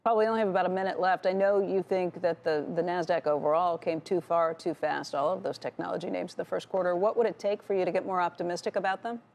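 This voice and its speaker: A woman's voice: fairly low pitch, fairly even intonation, quick pace, gravelly, hardly any pauses, darkish tone. A woman working as a television anchor, conducting a financial news interview.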